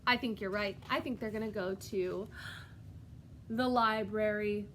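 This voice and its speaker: A woman reading a storybook aloud as a preschool teacher, expressive and sing-song, pausing to put questions directly to young children. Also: mid-range voice, a little hiss or hum, rough recording.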